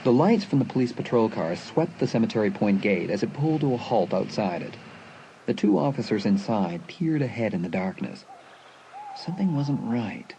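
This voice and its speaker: A man's voice: lowish voice, very animated, moderate pace, gravelly, dark tone, slight background noise. A man reading suspenseful scripted narration for a dramatised audiobook. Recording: faint music behind the narration.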